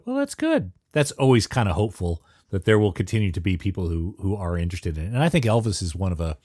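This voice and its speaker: Lowish, lively male podcast interviewer. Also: fast talker, dry recording, studio quality. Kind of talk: casual interview chat.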